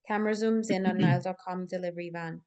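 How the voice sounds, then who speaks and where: A woman's voice: fairly low pitch, fairly even intonation, quick pace, a little mellow, highs cut off. A woman reading scripted stage directions as narrator at a live table read, in full descriptive sentences.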